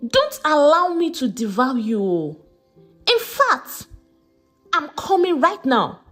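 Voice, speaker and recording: A woman voicing a cruel, commanding uncle in a dramatized folktale, with a forceful, threatening manner. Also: high-pitched, huge pitch swings, takes it slowly, faint music behind, voice pushed up, exaggerated voice. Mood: menacing, commanding. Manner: forceful, domineering, coaxing at times.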